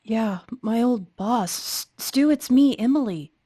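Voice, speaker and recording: Woman playing a slow, hesitant survivor. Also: fairly high pitch, animated, mellow, husky, no echo. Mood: startled, coaxing. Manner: halting, hesitant. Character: earnest, apologetic young woman survivor, Emily. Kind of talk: tabletop role-play, improvised.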